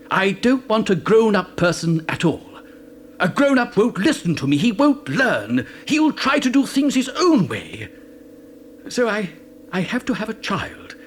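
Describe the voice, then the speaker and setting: An actor playing an eccentric, excitable old chocolate factory owner in a children's audio drama, with a theatrical, stammering delivery. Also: male voice, high voice, slight background noise. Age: older.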